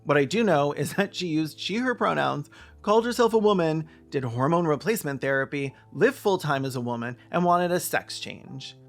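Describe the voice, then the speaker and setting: A man's voice: a little high, animated, normal speed, faint music behind. A man narrating a scripted video essay with casual asides, in an emphatic, opinionated way. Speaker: video essayist and podcast host.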